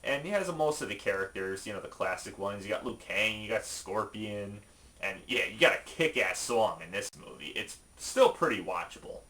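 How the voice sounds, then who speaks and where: A man presenting a top five list in casual, opinionated video commentary, informal and emphatic with halting asides. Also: fairly low pitch, animated, sharp tone.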